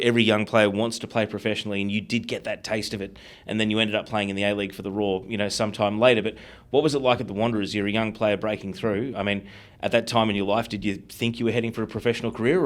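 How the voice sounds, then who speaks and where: An Australian man hosting a conversational sports interview, asking long, detailed questions. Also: on the low side. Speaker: interviewer and show host.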